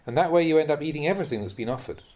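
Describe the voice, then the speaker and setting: Man reading Buddhist teachings, measured delivery. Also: on the high side, animated, a little mellow. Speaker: reader of Buddhist teachings, monk. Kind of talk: reading a translated dharma talk aloud.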